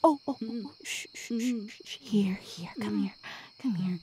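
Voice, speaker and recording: A woman playing a sadistic, obsessive demon woman in an ASMR roleplay, gloating and possessive, with menacing breathy whispers that can break into shouts. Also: medium pitch, normal speed, slight background noise, close to an everyday voice. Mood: sadistic cooing.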